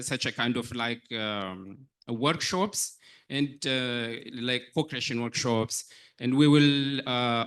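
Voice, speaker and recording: A man answering questions after a research presentation, halting with many restarts and fillers. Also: mid-range voice, a bit bright. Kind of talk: conference Q&A answer.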